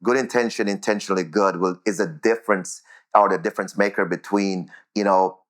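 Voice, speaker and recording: A man's voice: sits low, fairly even intonation, fairly quick. A man with a Jamaican accent answering interview questions conversationally, repeating words as he goes and often checking in with "right?".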